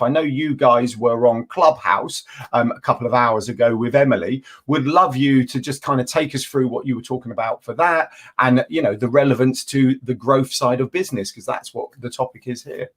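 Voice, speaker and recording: A man's voice: mid-range voice, brisk. A man hosting a live stream who talks in a bubbly, enthusiastic way, with frequent stumbling restarts. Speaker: host.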